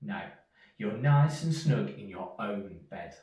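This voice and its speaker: A man's voice: fairly low pitch, expressive, mellow. Man as a weary, grumbling big mouse, echoey. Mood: resigned. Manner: curt, dismissive, drawn-out words. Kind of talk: children's storybook character voice. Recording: echoey room, distant from mic.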